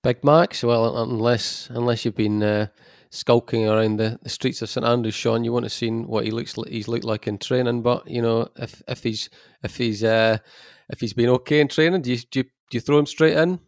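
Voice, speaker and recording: A man's voice: mid-range voice, steady tone, normal speed, hardly any pauses, no room sound, clean studio sound. A man hosting a casual football podcast, jokey and prompting his co-hosts.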